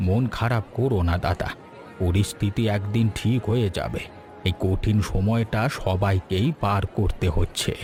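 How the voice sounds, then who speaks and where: A man's voice: on the low side, steady tone, normal speed, darkish tone, faint music behind, lightly acted. A man playing an apologetic but firm village shopkeeper in a cartoon story, explaining himself politely and with regret. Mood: sympathetic.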